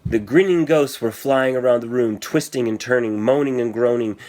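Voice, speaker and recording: A man's voice: medium pitch, normal speed, a little mellow. Man dramatically reading a children's story. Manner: theatrical, suspenseful delivery with emphatic sound words. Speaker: storybook reader.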